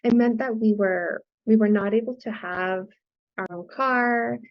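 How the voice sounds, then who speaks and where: Gravelly woman, Spanish native, on a dull line. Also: fairly high pitch, unhurried. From US-Mexico border. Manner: enthusiastic, explanatory, often says "right?" and "like". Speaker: guest, financial coach. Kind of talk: conversational interview. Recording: dull lo-fi podcast audio.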